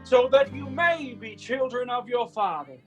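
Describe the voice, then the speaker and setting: A man playing a fervent, solemn preacher, declaiming a drawn-out dramatic sermon about sin. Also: very high voice, expressive. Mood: solemn, exhorting. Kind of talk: dramatic sermon in rhymed verse. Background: plucked guitar music.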